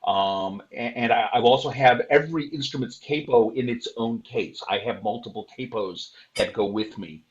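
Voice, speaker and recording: Loud older man, lo-fi call audio. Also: medium pitch, normal speed, hardly any pauses. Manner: loud, practical advice with many fillers and restarts. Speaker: panelist, performing musician. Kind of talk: online convention panel talk.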